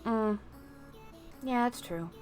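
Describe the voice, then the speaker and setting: A woman livestreamer chatting casually to her viewers, musing off the cuff with pauses between thoughts. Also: on the high side, darkish tone, faint music behind. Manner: unscripted musing, addressing chat, with pauses. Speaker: VTuber livestreamer.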